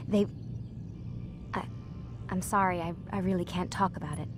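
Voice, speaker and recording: An actress playing a gentle, guarded young woman in a video game, soft-spoken and careful. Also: lowish voice, steady tone, moderate pace, music in the background, close to an everyday voice. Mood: sympathetic, careful. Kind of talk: naturalistic video game acting.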